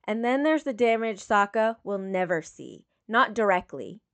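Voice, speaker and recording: A woman reading dramatic fiction as a scripted narration, with measured, solemn phrasing. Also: mid-range voice, animated, fairly bright tone. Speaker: audiobook reader of fan fiction.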